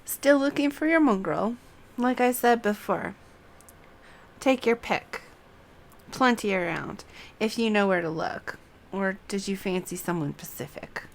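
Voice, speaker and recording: A woman's voice: mid-range voice, measured pace, vocal fry, slight background noise. A woman voicing a sassy, dismissive street-wise fantasy game woman with punchy, taunting delivery. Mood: sly, taunting. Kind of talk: acting out game quest dialogue.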